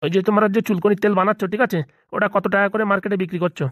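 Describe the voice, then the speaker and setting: A man's voice: high-pitched, fairly even intonation, rapid-fire, a bit bright, no room sound, clean studio sound. A man voicing a blunt, self-important small-time gangster boss in a comedy cartoon, bossy and businesslike with a Bengali accent. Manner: bossy, businesslike, repeatedly seeking agreement.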